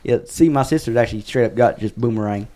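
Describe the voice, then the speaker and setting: A man hosting a relaxed podcast conversation in a middle-pitched voice. His voice is wearing thin from long talking, and he rambles and corrects himself as he goes. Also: quick pace. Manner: rambling, self-correcting, wraps up the show. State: losing his voice from talking a lot. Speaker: podcast host. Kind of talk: casual chat.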